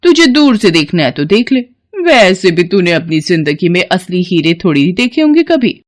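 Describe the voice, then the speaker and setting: A woman's voice: lowish voice, very animated, normal speed. Storybook villainess: arrogant, greedy rich mistress, imperious and cutting, voiced by a female narrator. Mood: boastful, scornful. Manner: haughty, bossy, scornful.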